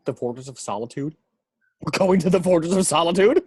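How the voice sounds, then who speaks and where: A man's voice: fairly high pitch, expressive, brisk, a little mellow, husky. A man playing an eager, chatty superhero speedster in a script table read, with a nervous, informal way of talking. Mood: excited, anxious.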